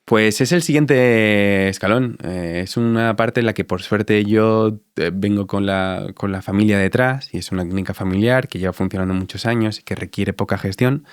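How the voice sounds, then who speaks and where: A man in his thirties with an Andalusian Spanish accent, answering interview questions in a reflective, halting way with many fillers. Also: fairly low pitch, clean tone, no echo, clean studio sound. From Spain. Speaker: dentist guest.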